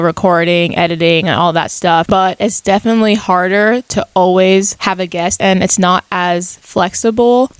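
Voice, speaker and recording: A woman's voice: on the low side, no room sound. A woman in her mid-twenties hosting a podcast solo, talking in a casual, chatty, reflective monologue. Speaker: podcast host.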